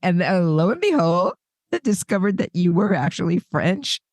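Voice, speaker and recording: An older woman co-hosting a podcast, telling stories in a lively, conversational way. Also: fairly low pitch, normal speed, no echo. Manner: animated storyteller, acts out remembered dialogue. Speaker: podcast co-host. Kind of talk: casual conversation.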